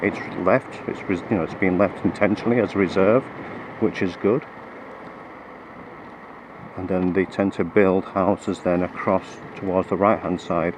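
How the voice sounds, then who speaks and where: A man with a low, level voice made croaky by a lingering cold talks while riding his motorbike. He rambles through the route with frequent ums and self-corrections. Steady engine and wind noise sit behind him. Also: a little slow. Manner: hesitant, many fillers and restarts. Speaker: motorcycle vlogger. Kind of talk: rambling ride commentary. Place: on a motorcycle on the road.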